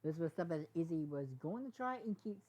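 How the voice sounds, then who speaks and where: A woman reading a story aloud in a halting way, often stumbling over words. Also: on the low side, lively intonation, warm tone. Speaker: fan fiction reader. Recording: dull, lo-fi sound.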